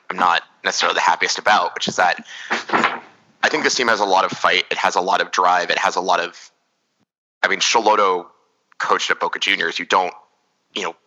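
Low, bright-voiced man talking soccer. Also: rough recording. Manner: rambling, analytical, many restarts. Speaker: podcast host. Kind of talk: casual soccer analysis chat.

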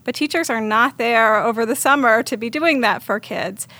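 A woman's voice: fairly high pitch, normal speed, sharp tone. A woman answering as an interview guest, explaining things conversationally as an editor.

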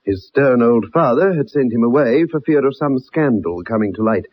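On a muffled vintage radio recording, a former soldier. His voice is mid-pitched and a little rough, earnest and polite yet insistent, like a loyal friend who is troubled. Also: lively intonation, moderate pace. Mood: grave, recounting. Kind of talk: 1960s radio drama acting, first-person narration.

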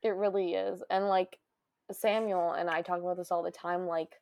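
A young woman from the Midwest with a fairly deep voice, co-hosting a podcast in casual, giggly chat. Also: steady tone, normal speed, gravelly, a little mellow, no room sound. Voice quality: deeper voice. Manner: giggly, lots of fillers. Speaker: podcast co-host.

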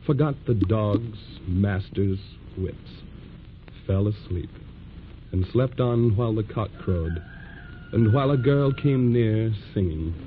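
An actor playing a solemn, urgent storyteller, narrating an old radio drama in a dramatic scripted style. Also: male voice, lowish voice. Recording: tinny, noisy old radio recording.

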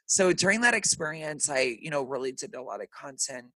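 A man in his thirties hosting a podcast, chatting casually with lots of fillers, his voice deeper and raspier than usual today. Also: expressive, brisk, sharp tone, no echo, poor audio. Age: 30s. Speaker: podcast host. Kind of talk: casual chat.

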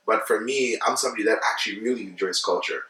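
A man's voice: lowish voice, fast, pushed and bright. A young man from Zambia talking casually and laughing often, with lots of filler words. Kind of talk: casual chat. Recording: roomy, mic at a distance.

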